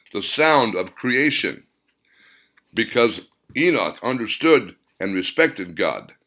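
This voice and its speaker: Man voicing slow, solemn male spirit voice. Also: mid-range voice, fairly bright tone. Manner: reverent, formal, proclaiming. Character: solemn male spirit voice inside a wave of energy.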